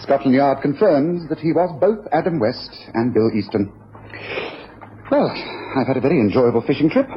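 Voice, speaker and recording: Brisk, self-assured male detective, acted by a man, period radio drama, lively intonation, dull recording. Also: mid-range voice, natural voice. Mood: explanatory, satisfied. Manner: decisive, declarative, explanatory. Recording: dull old radio recording, faint hiss.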